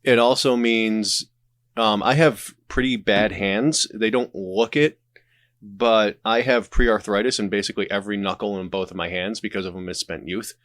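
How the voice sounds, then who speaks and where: A man's voice: lowish voice, steady tone, normal speed, a bit bright, no room sound. A man speaking as a podcast guest, explaining his creative process in casual conversation. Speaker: podcast guest, writer and audio drama creator.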